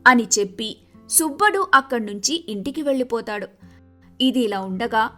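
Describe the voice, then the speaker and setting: Female narrator for a folk tale: high, bright voice, expressive scripted delivery. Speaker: story narrator.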